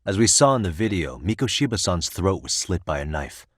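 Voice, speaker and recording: Low-voiced, composed defense attorney. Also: male voice, very animated, fairly bright tone, husky, dry recording, studio quality, exaggerated voice. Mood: probing, confrontational. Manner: measured, articulate, formal questioning. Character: composed, sharp-witted male defense attorney, probing and insistent. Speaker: defense lawyer in a video game. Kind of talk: scripted dramatic courtroom dialogue in a game.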